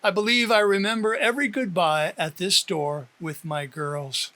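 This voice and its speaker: A man reading his own story as a first-person audiobook, with expressive storytelling. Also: high-pitched, normal speed. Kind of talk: first-person scripted narration.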